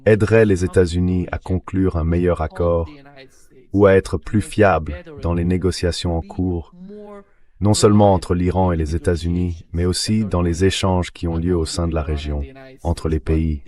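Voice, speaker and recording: A man reading a translated voice-over of political analysis in a measured, explanatory way. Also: deep voice, fairly even intonation, warm tone, close to an everyday voice.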